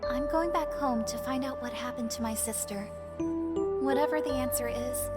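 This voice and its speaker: A woman playing a heartsick young woman in a visual novel, giving a tender, earnest farewell over music. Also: high-pitched. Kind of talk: visual novel voice acting, reading a farewell letter. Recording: loud new-age music under voice. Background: new-age music.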